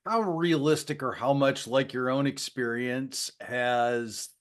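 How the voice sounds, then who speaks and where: A man's voice: medium pitch, slow. Man hosting a film interview. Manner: friendly, hedging with fillers. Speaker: interviewer, film site host. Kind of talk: casual interview questions.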